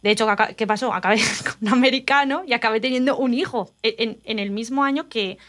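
A woman from Spain, telling personal stories in an animated, confiding casual chat. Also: fairly high pitch, fast.

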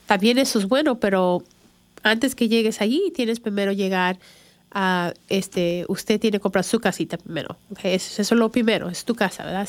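A woman's voice: medium pitch, no echo. A middle-aged woman from Chicago hosting a radio show, talking casually with many fillers and restarts. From Chicago, USA. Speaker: radio show host, real estate agent.

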